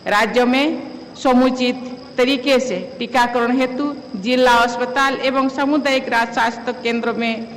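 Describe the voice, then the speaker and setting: A woman's voice: on the high side, fairly even intonation, moderate pace. A woman delivering a formal official speech read from a text, recorded with long reverb and faint noise. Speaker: speaker giving an official address.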